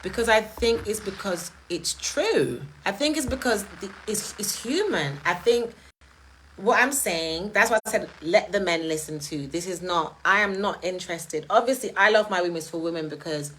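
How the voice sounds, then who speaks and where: A woman's voice: medium pitch, lively intonation, moderate pace, a bit bright, a little hiss or hum, poor audio. A woman with a British accent hosting a live stream, talking casually to her chat and making her points with emphatic rhetorical questions. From United Kingdom.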